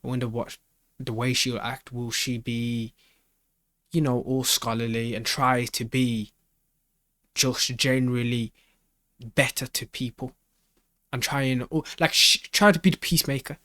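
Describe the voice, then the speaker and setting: Male reaction host, medium pitch, casual thinking-aloud delivery full of slang, close and dry sound. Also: steady tone, a little slow, long pauses, bright, forceful tone, no echo.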